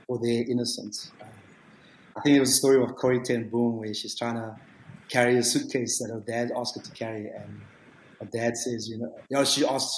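A man in his late forties, a youth ministry leader, speaking on a video call panel in a relaxed, rambling way with lots of fillers. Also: mid-range voice. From South Africa. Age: 40s. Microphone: video call webcam. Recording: echoey room with faint noise.

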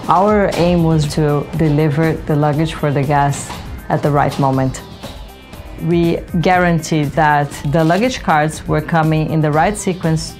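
A woman from Brazil, a senior project manager, speaking with measured enthusiasm in a documentary interview. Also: deep voice, a little mellow.

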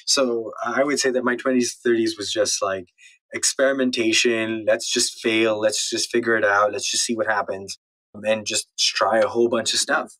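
A man's voice: on the low side, fairly even intonation, moderate pace, fairly bright tone, poor audio. Young man in a casual interview. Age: late 20s, turning thirty. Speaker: podcast guest, entrepreneur.

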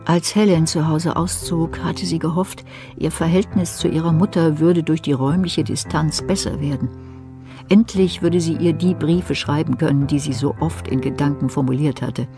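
A woman's voice: low-pitched, fairly even intonation, normal speed, over music. A woman narrating a German crime audio drama in a scripted storytelling style.

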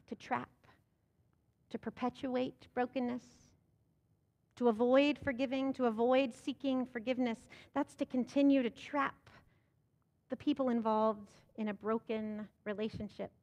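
Young American woman pastor, high voice, emphatic sermon delivery at a pulpit mic. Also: long reverb tail. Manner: rhetorical questions, emphatic rising delivery. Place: church sanctuary. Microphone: pulpit microphone.

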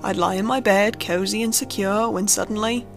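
A woman reading a scripted first-person horror story in a measured, suspenseful storytelling manner. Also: mid-range voice, fairly even intonation. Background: background music under the narration.

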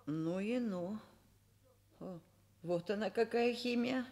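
A woman's voice: medium pitch, slow pace, darkish tone. An actress playing a frank, kindly middle-aged professor in a Soviet radio play, wry and conversational as she talks and narrates.

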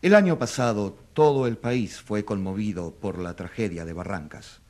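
An actor playing a combative, undaunted newspaper editor making an accusation in a radio drama, with emphatic, oratorical delivery. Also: male voice, fairly low pitch, moderate pace, darkish tone, close to an everyday voice. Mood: solemn.

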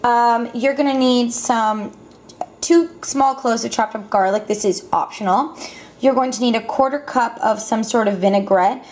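A woman's voice: fairly high pitch, normal speed, darkish tone. Chatty woman cooking in her kitchen. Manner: chatty, lots of ums and self-corrections. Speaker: cooking vlogger. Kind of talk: casual cooking demonstration. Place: home kitchen. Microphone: camera mic, filming over the stove. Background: clinking pots and utensils.

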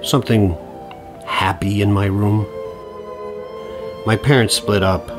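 An actor playing a middle-aged divorcing suburban dad in a podcast drama, profane, sardonic and rambling. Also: male voice, fairly low pitch, steady tone, takes it slowly, over music. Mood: wistful, rueful. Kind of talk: podcast audio drama acting.

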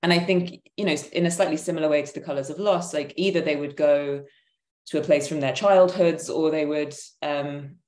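A woman's voice: low voice, steady tone, normal speed, darkish tone. A woman in her thirties thinking aloud in an emphatic, searching way as a panelist on a video call. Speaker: panelist, theatre maker. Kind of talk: reflective conversational explanation. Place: indoor room at home, video call. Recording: roomy, echoing video-call sound.

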